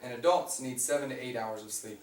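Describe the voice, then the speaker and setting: A young man with a raspy voice giving an informative class speech, reading from notes with fillers and restarts. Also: medium pitch, fairly quick, a little mellow. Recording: echoey room, mic far off, faint hum.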